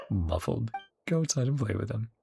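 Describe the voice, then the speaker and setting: Mężczyzna komentujący grę na luzie, chropowaty głos, skacząca intonacja, muzyka z gry w tle. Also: male voice, very high voice, creaky. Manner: chatty, laughs often, reads lines aloud. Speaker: game reaction streamer. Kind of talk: casual game reaction commentary. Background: game music and dialogue.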